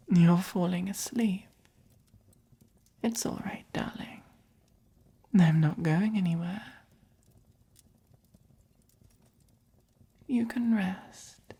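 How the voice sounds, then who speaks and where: A woman with an English accent playing a doting, possessive vampire in a soft-spoken, breathy, whispered ASMR roleplay, gently dominant and coaxing. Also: medium pitch, heavily acted. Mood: soothing, affectionate.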